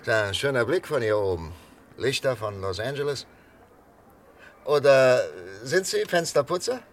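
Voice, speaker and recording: A man playing a calm, coaxing psychiatrist in a 1960s German radio thriller, talking in a hesitant, conversational way. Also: medium pitch, measured pace. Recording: 1963 radio recording, faint noise.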